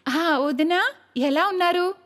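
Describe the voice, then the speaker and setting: A woman voicing a gentle, eager-to-please young daughter-in-law in a cartoon moral story. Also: extremely high pitch, slow, exaggerated voice. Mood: eager, polite.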